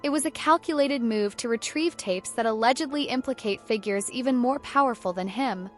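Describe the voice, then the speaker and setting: Higher-pitched woman narrating news. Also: a little fast, soft background music. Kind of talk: scripted news-style narration.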